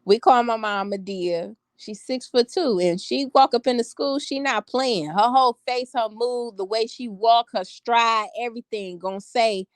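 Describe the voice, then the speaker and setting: Woman vlogger in her 30s, strong confident delivery, a bit higher than most, dry close sound. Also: normal speed, hardly any pauses, dry recording. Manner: emphatic, sassy, repeats phrases for effect. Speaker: vlogger talking to live viewers. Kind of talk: casual storytelling chat.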